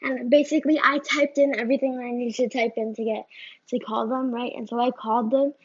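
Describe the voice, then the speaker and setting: High-voiced girl rambling a rant at her phone, bit husky, slightly muffled phone sound. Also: level tone, fairly quick. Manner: rambling, keeps asking "right?" and "you know?". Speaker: vlogger.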